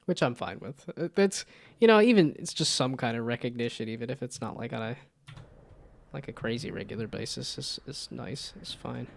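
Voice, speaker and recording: A young-sounding man with a slightly nasal voice and a gravelly edge chats in a relaxed, rambling way. His pitch sits in an ordinary middle range, and a faint noise runs under his voice. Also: normal speed. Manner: laid-back, rambling with restarts. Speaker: streamer. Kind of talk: casual gameplay chat.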